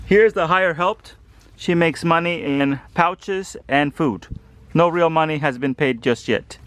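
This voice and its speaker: Chatty higher-voiced man from El Salvador. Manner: chatty, coaxing a toddler gently. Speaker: home gardener vlogger. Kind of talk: casual garden vlog. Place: outdoor garden by a greenhouse.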